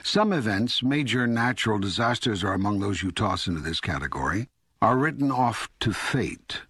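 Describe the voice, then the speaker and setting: An ageless, patient God in an audiobook dialogue. The voice is rather low and husky, recorded very dry. It teaches with measured, authoritative statements. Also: male voice, expressive, a bit bright, no echo. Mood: matter-of-fact. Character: God, an ageless, patient, all-knowing male deity. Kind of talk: scripted audiobook dialogue reading.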